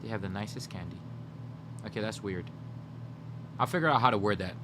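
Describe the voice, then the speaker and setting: A young man livestreaming, chatting casually with his viewers and rambling as he brainstorms jokes out loud. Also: lowish voice, fairly quick, very noisy. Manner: rambling, brainstorming jokes aloud, talking to chat. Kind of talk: casual chat with live viewers.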